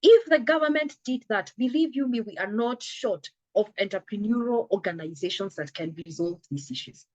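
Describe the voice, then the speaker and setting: A woman's voice: mid-range voice, normal speed, few pauses, darkish tone, no echo, highs cut off. A woman, a telehealth company founder, talking earnestly in an interview, her voice a little scratchy from a coming cold. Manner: earnest, emphatic, acts out little dialogues. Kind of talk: conversational interview.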